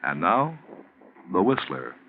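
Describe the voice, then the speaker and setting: A man announcing in 1940s radio style, delivering a sponsor pitch with formal, polished salesmanship. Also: huge pitch swings, slow pace, a little hiss or hum. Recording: muffled 1940s radio recording, faint music.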